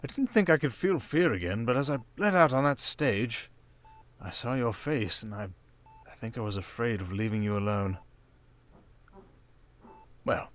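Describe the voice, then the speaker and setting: A man playing a suave, cunning villain in an intimate ASMR roleplay, speaking in a silky, theatrical, teasing way with deliberate pauses. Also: medium pitch, lightly acted. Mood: tender, confessional. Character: suave, cunning male criminal mastermind villain, seductive and teasing.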